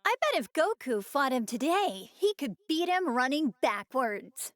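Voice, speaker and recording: Casting: chatty, sweet anime girl-next-door in her youth, high lilting voice, very animated, conversational. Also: a little slow, no room sound, clean studio sound, full character voice. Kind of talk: English-dubbed anime game voice acting.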